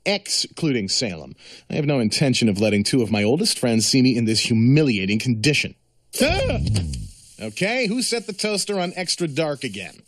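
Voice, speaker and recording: Sardonic cartoon cat, swooping pitch. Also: male voice, sing-song, normal speed, fairly bright tone. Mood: indignant. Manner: dry, sarcastic, pompous. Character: sardonic, vain talking male cat, put-upon grumbler. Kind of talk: animated series acting.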